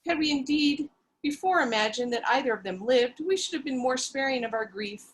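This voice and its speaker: A woman reading a story aloud with theatrical, breathless melodrama. Her voice sits high, and she plays the lead and narrates over a video call. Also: animated, fairly quick, poor audio.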